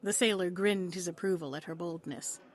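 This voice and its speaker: A woman's voice: on the low side, moderate pace. Woman narrating a story dramatically. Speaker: narrator, author reading.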